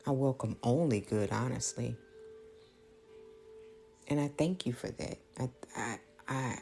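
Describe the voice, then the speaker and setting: A woman, thinking out loud in a reflective, prayerful monologue, halting and earnest as she repeats phrases. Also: rumbling low, vocal fry, faint music behind. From small-town Louisiana, United States. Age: late 30s. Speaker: podcast host.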